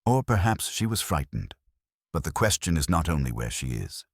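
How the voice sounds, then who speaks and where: A male narrator plays a measured, shrewd inspector in a storybook mystery. He is quiet and deliberate, with an extremely low, husky voice. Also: very animated, no echo, clean studio sound, heavily acted. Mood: grave. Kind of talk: audiobook detective story dialogue.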